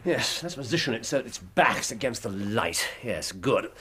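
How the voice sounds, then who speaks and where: Husky, animated English gentleman detective. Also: mid-range voice. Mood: commanding. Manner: theatrical, brisk, wry. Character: keen, playful, commanding Victorian English gentleman detective. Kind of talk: radio drama acting.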